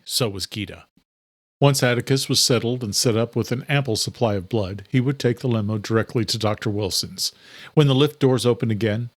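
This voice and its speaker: A man's voice: fairly low pitch, no room sound. Male dramatic audiobook narrator, dry sound.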